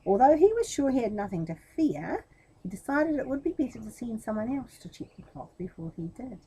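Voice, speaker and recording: A woman's voice: on the high side, very animated, fairly quick, dark tone, slightly rough, low-quality recording. A woman reading a children's storybook expressively, talking directly to young listeners as the storyteller.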